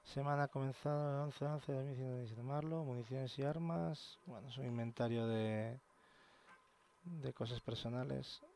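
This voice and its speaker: A man giving excited, slangy commentary while playing a game. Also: medium pitch, moderate pace, creaky, a little hiss or hum. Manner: excited, swears casually. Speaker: let's play gamer. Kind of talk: live gameplay commentary.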